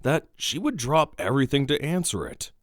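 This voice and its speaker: A man's voice: mid-range voice, very animated, moderate pace, a bit bright, no room sound, clean studio sound. A man playing a haughty, irritable god in a fantasy audio drama, with a dramatic, disdainful delivery.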